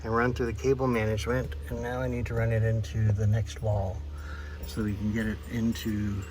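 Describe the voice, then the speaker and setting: Low-voiced man, noisy DIY vlog recording. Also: flat delivery, normal speed. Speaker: boat DIY vlogger. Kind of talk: casual how-to narration to camera. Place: cramped interior of a boat in a yard.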